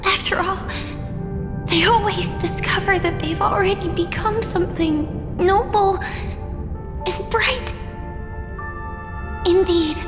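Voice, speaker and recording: Very high-voiced, resolute young heroine. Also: female voice. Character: gentle but resolute young woman warrior, quietly hopeful. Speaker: voice actor in a game cutscene. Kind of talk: scripted dramatic game dialogue. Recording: long reverb under clear music.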